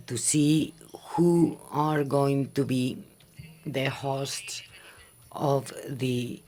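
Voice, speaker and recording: A man addresses a formal meeting from a conference hall, speaking in a measured, halting way. He pauses often on fillers as he lays out the agenda points, in a voice of ordinary middle pitch. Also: a little mellow. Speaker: meeting chair.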